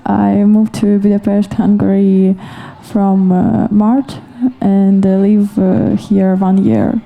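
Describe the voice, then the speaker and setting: A woman from Ukraine with a Ukrainian accent, a teacher introducing herself in halting, simple English. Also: mid-range voice, little pitch movement, gravelly, narrow, tinny audio. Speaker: teacher, panelist. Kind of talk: halting panel self-introduction.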